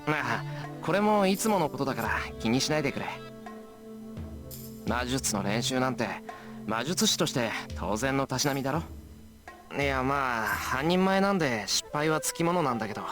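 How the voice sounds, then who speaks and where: Guy voicing earnest anime game teen boy, talks fast, music behind him. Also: mid-range voice, expressive, natural voice. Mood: casual, brushing off. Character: earnest modest teenage boy, apprentice mage. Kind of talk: Japanese visual novel voice acting.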